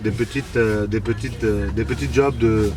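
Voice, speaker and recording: A man's voice: fairly low pitch, fairly even intonation, moderate pace, distant mic, music in the background. A man from Côte d'Ivoire, speaking casually in an interview and often repeating words as he searches for them. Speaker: restaurant owner being interviewed.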